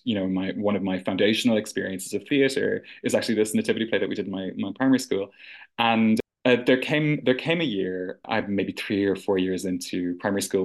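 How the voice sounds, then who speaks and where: Low-voiced man, earnest podcast guest. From rural Ireland. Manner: thoughtful, earnest, with frequent restarts and "you know". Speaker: artistic director, guest. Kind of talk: conversational podcast interview.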